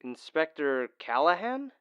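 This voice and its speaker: A deferential police officer played by a male actor reports through a phone filter. His voice is fairly high for a man, and the sound is dry and dull, as in an audio crime drama. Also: very animated, a little slow, a bit bright, clean tone, dry recording. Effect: phone call filter.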